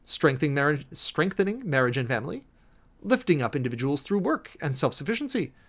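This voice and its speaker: Male reader, slightly high-pitched and highly animated, theatrical and emphatic delivery of formal text. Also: fairly quick. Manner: theatrical, emphatic, spells out punctuation and section headings. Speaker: streamer and host reading a document aloud. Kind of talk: dramatic reading of a legal document.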